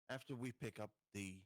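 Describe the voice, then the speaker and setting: A man chatting casually as a player in a tabletop game, wry and halting in the way he talks. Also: fairly low pitch, no room sound, studio quality. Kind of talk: casual joking game chat.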